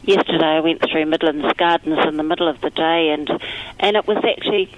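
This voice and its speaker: A woman with a croaky voice, talking upbeat and at length as a chief executive in a radio phone interview. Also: sits low, fairly even intonation, a little fast, lo-fi sound, slight background noise. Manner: upbeat, talkative, positive. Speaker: retirement trust chief executive.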